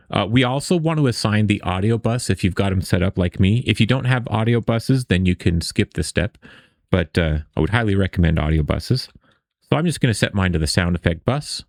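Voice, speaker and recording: A man walks through a coding tutorial in a casual, explanatory way. His voice sits fairly low and often crackles with vocal fry. It is recorded close and very dry, with no room sound. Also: no room sound. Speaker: tutorial instructor.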